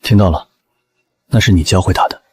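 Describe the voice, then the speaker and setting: An actor playing a calm, understated, quietly steady veterinarian in a scripted drama. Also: male voice, deep voice, a little fast, natural voice. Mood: dry, composed.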